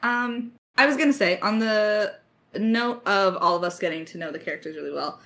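A woman's voice: mid-range voice, moderate pace, fairly bright tone. A woman chatting casually and informally, given to giggling, as a podcast writer and voice actor in a creator Q&A. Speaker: lead writer and voice actor of an audio drama podcast.

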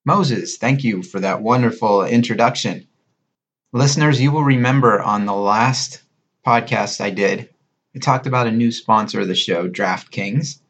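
A man's voice: lowish voice, moderate pace, fairly bright tone. A man hosting a podcast, moving between conversational sponsor talk and scripted narration. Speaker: podcast host.

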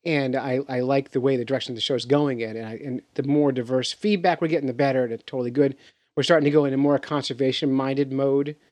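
A man who hosts a podcast, speaking in a measured, deliberate way. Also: mid-range voice, fairly even intonation, darkish tone, no room sound. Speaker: podcast host. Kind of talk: casual podcast chat.

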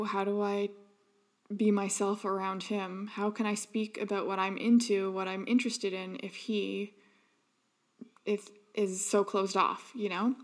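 Earnest woman with a gravelly voice. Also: medium pitch. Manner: earnest, with frequent ums and restarts. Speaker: podcast host. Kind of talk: reflective personal monologue.

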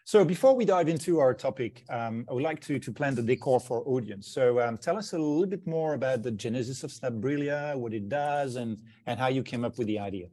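A man's voice: medium pitch, lively intonation, a little fast, few pauses, dark tone. A man hosting a remote interview over a video call, encouraging his guest with short affirmations. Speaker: podcast host.

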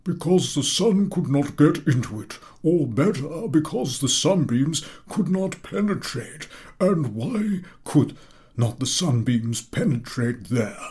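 A man's voice: a little high, expressive, a little mellow, husky, voice pushed up, exaggerated voice. A man putting on a pompous old professor. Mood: coaxing. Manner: drawn-out, coaxing schoolmaster questioning. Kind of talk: audiobook character voice.

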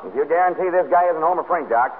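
Man acting a blunt, high-voiced radio police chief. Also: rapid-fire, lightly acted. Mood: matter-of-fact. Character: gruff, matter-of-fact police chief. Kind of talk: 1940s radio comedy acting. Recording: tinny narrow-band 1940s radio recording.

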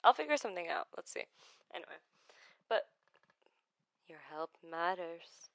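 A woman in her twenties from Iraq talks about her life in a personal vlog, gentle and hesitant, with many pauses and restarts. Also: on the low side, sing-song, creaky, dry recording. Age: 20s. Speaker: vlogger.

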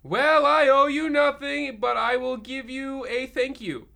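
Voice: high-pitched, fairly even intonation, measured pace, creaky, pushed and bright, exaggerated voice. An actor playing a haggard, cranky old witch with a theatrical, vengeful manner in a comic radio play. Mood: angry, indignant.

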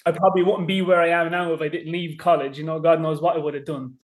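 A man's voice: fairly high pitch, flat delivery, quick pace. A man with an Irish accent, a podcast guest talking casually and rambling, with lots of "you know" and "like". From Ireland. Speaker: podcast guest, fishing guide. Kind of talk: casual interview chat.

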